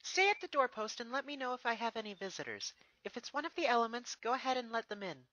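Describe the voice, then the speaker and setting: A reader voicing a gentle young female pony princess in an earnest, expressive fan fiction reading. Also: medium pitch, fast talker, no room sound, voice pushed up, low-quality recording. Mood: politely commanding.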